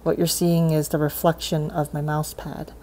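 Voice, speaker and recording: A woman's voice: low voice, little pitch movement, moderate pace, warm tone, a little hiss or hum. A woman giving a casual, unscripted hands-on demonstration, thinking aloud with a hesitant delivery. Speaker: hobbyist demonstrating cassette tape repair. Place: indoors at a desk.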